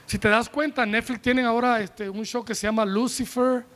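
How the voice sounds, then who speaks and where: A man in his forties preaching a sermon from a pulpit, animated and joking as he calls on the congregation, speaking into a handheld microphone. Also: extremely high pitch, moderate pace. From United States. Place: church tent stage with a pulpit.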